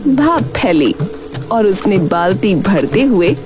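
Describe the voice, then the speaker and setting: A woman's voice: medium pitch, big pitch swings, slow. A woman reading scripted storybook narration for a cartoon tale. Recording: music behind the voice. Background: music.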